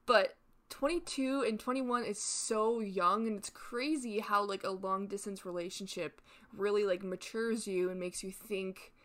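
A woman in her early twenties hosting a podcast, chatting casually and lively with frequent laughs and casual swearing. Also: mid-range voice, unhurried. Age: 20s. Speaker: podcast host. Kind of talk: casual chat.

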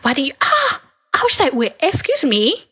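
A young woman in her twenties telling a story in a casual chat, animated and dramatic as she acts out the quoted lines. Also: sits high, normal speed.

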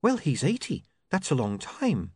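A man's voice: on the high side, huge pitch swings, measured pace, husky, no room sound, clean studio sound, voice pushed up, exaggerated voice. Guy doing a prim proper young lady, fussy and precise, voice swoops all over.

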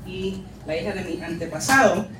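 A woman pastor from Puerto Rico, raised in New York, with a Nuyorican Spanish accent, giving an earnest and measured conference talk. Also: lowish voice, fairly bright tone, a little hiss or hum. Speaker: pastor and lecturer. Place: conference hall. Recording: echoey hall, distant mic, faint music.

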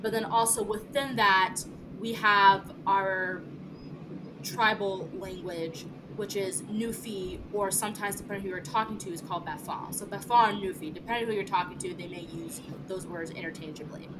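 A woman's voice: medium pitch, fairly even intonation, moderate pace, background noise. A young woman co-hosting a podcast in casual chat, whose family is from Cameroon and whose first language is French, talking in a chatty way with many fillers. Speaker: podcast co-host.

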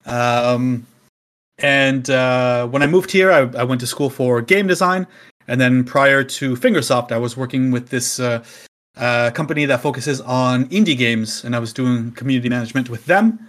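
A man from Canada with a Canadian accent, chatting easily as a podcast guest, laughing often and filling pauses with ums. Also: mid-range voice, fairly bright tone. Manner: easygoing, chatty, laughs often, many fillers. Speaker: game company community staff guest. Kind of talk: casual podcast chat.

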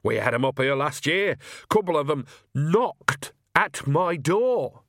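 A man voicing Nanny Ogg, a brash, excitable, cheeky old woman witch, in an audiobook. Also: on the high side, slow, no echo, clean studio sound, pitched above the performer's own voice, full character voice. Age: older. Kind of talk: audiobook character voice.

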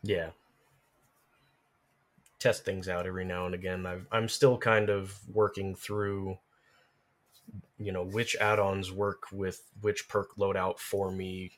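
A man in casual podcast conversation about game lore, thinking aloud in halting phrases. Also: low voice, level tone. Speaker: podcast speaker.

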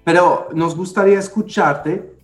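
A man's voice: high-pitched, fairly even intonation, a little slow, echoey room, soft background music. A man from France with a French accent tinged with Dominican speech, hosting a casual panel talk and hesitating often with fillers and restarts. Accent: French, with Dominican touches. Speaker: podcast host.

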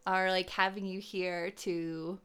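A woman co-hosting a podcast in an earnest, conversational way, measured and pausing often. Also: on the low side, level tone, a bit bright, clean tone. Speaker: podcast co-host.

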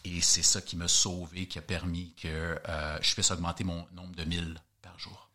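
A man with a Québécois French accent, chatting as an interview guest and telling a story, animated, often repeating words as he searches for them. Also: deep voice, normal speed. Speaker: guest hiker.

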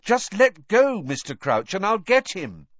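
A man's voice: sits high, expressive, normal speed, a bit bright, dry recording, highs cut off, voice pushed up. A man voicing an earnest, worried teenage boy wizard in an audiobook. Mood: anxious, insistent.

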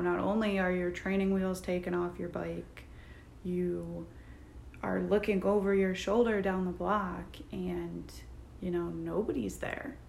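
A woman's voice: lowish voice, gravelly, darkish tone. A young woman co-hosting a casual podcast, talking in a thoughtful, reflective way. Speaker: podcast co-host. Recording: echoey room with faint noise.

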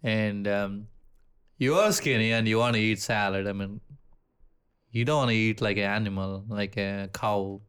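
A man with an Indian accent, co-hosting a casual podcast chat, relaxed, swearing freely and often restarting his sentences. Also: on the low side, moderate pace, smooth. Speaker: podcast co-host.

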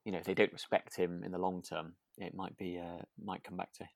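A man's voice: deep voice, flat delivery, fairly quick, vocal fry, no echo. Casual male podcast co-host, close dry sound. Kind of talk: casual chat about a radio drama.

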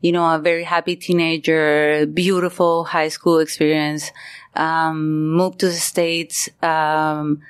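A middle-aged woman with a Venezuelan Spanish accent and a low-pitched voice. She talks as a guest in a casual interview, telling her story in an informal, candid way. Her delivery is emphatic. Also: level tone, slow pace, smooth. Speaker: guest, artist.

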